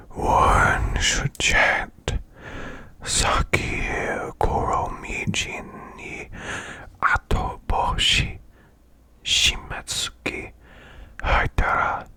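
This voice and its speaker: A man voicing a demon boss who reads eerie folklore as bedtime ASMR in a slow, hushed, growling rasp with long pauses. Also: no room sound, heavily acted. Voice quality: growling, gravelly, breathy rasp.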